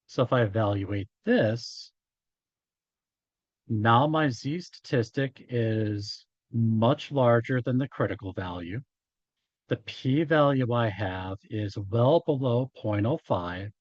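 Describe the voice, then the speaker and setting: A male instructor lectures informally in a slightly hoarse, mid-pitched voice. He moves slowly and haltingly through his explanation. The sound is dry and a little dull, like a lo-fi microphone. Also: darkish tone, no echo. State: hoarse after hours of talking. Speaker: college instructor. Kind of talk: informal statistics lecture, working through examples.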